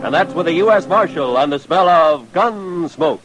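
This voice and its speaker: A man's voice: a little high, lively intonation, normal speed, poor audio. An American man delivering a declamatory, dramatic introduction in the style of 1950s radio. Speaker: announcer.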